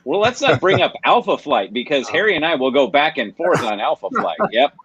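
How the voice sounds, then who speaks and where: Husky enthusiastic man on a dull dry mic. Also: fairly high pitch, sing-song, a bit bright. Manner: enthusiastic, fast bursts, repeats himself. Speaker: voice actor guest. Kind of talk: casual podcast interview.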